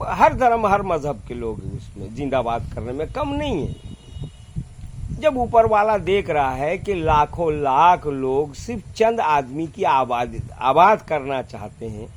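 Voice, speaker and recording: A man's voice: a little high, a little hiss or hum. An Indian-accented man delivering an impassioned, unscripted monologue, scolding and sarcastic, with colloquial phrasing, repetition and rhetorical questions. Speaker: vlogger giving political commentary.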